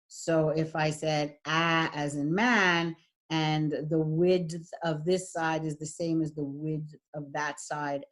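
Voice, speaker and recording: A middle-aged woman with a husky voice, giving a recorded lecture as a voice and speech teacher, with frequent fillers. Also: sits low. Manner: demonstrates voice types, lots of fillers.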